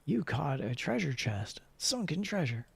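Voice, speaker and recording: A man's voice: on the high side, big pitch swings, unhurried, gravelly, a little hiss or hum. A man streaming a game, switching between playful chatter and theatrical story read-aloud.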